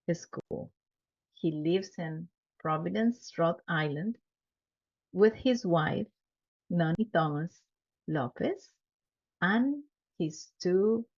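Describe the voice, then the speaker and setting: A woman hosting a podcast, introducing her guest and asking her first question deliberately. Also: on the low side, dull audio. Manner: deliberate, reading a bio. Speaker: podcast host.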